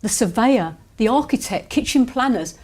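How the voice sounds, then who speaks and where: Woman, property agency owner, interview answers, measured, businesslike, hesitant fillers. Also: on the high side, lively intonation, a little mellow.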